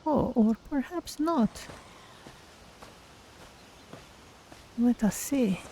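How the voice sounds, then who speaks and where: A woman voicing a calm, thoughtful, curious female cat-folk adventurer as she narrates her way through a game. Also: a little high, a little slow, a little hiss or hum. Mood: curious, measured. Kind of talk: in-character game stream narration.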